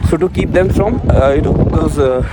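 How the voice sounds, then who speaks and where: A young man from India with an Indian accent giving a casual, rambling walking-tour commentary at an ancient site, with many pauses and restarts. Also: fairly high pitch. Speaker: travel vlogger. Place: outdoors at an ancient monument site and inside a stone passage. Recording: loud outdoor noise with passing vehicles.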